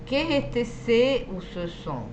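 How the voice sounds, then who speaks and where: A woman's voice: a little high, big pitch swings, slow pace, darkish tone, lo-fi sound, a little hiss or hum. A woman with an Argentine accent teaching French in an online class, patient and explanatory, often checking that students follow. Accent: Argentine Spanish.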